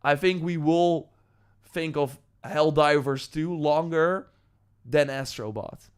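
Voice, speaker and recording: A man's voice: a little high, slow pace, clear, resonant tone. A man with a Dutch accent, a native Dutch speaker, hosting a live stream, reading viewer comments and rambling loosely with many ums and likes. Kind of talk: casual live-stream talk, reading chat.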